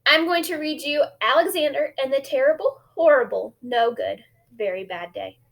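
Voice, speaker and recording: A woman reading a children's bedtime story aloud in an expressive, emphatic way for young listeners. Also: high-pitched, normal speed, gravelly. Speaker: school staff reading bedtime stories to children.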